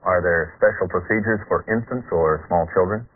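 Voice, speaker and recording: A man's voice: low voice, moderate pace, narrow, tinny audio. A man hosting a scripted instructional interview on a vintage film soundtrack. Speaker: host interviewer. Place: film studio, indoors. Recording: band-limited vintage 1980s film soundtrack.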